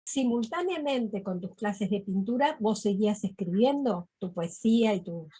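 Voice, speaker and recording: A woman's voice: mid-range voice, expressive, normal speed, dark tone, husky. A woman interviewer with a Rioplatense Spanish accent, guiding the talk over a video call with short affirmations. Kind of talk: casual interview.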